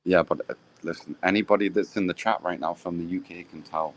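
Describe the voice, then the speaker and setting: A man's voice: sits low, steady tone, a little fast, low-quality recording. A British man chatting casually on a live stream, easygoing, often trailing off and restarting his sentences. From Britain. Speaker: stream guest.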